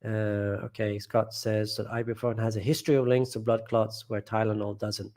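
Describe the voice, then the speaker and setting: A man's voice: on the low side, flat delivery, moderate pace, darkish tone. A man hosting a casual live stream from home, reading viewer comments aloud and rambling, often trailing off and restarting.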